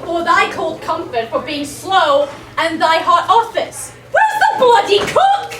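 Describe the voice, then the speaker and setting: A woman's voice: extremely high pitch, a little slow. A woman playing a cheeky, grumbling male servant on an amateur stage, often breaking into laughter. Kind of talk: amateur stage acting of verse. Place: stage before a live audience. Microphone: distant room mic. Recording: echoey, distant, noisy hall recording.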